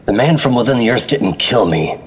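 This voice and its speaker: Very husky noir agent. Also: male voice, medium pitch, lively intonation, fairly quick. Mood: grim, brooding. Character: hard-boiled male special agent recounting his ordeal. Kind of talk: retro radio noir narration.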